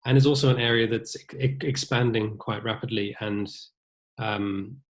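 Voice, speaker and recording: A man's voice: fairly low pitch, steady tone, moderate pace. A young man from New Zealand with a British accent, talking thoughtfully as a violinist guest in an interview, often restarting his sentences. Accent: British English. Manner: thoughtful, many restarts and fillers. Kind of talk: casual interview conversation.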